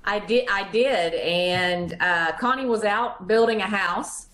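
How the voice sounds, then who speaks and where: A woman's voice: medium pitch, takes it slowly, a bit bright. A woman in a community theater troupe, chatting casually over a video call. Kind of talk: casual chat. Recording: roomy, echoey video call audio.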